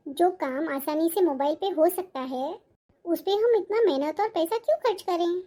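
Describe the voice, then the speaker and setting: Woman voicing a high-pitched cheerful young bride-to-be. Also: moderate pace, warm tone. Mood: breezy. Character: cheerful modest young bride-to-be, devoted daughter. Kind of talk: Hindi animated moral story dialogue.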